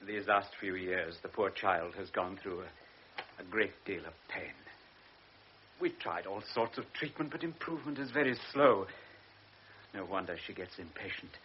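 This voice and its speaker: Actor as a fretful old father, lowish voice, earnest and apologetic, old radio drama sound. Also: moderate pace, slight background noise, close to an everyday voice. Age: older. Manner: apologetic, earnest, sometimes hushed. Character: elderly gentle anxious father, wealthy host. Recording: dull old radio recording.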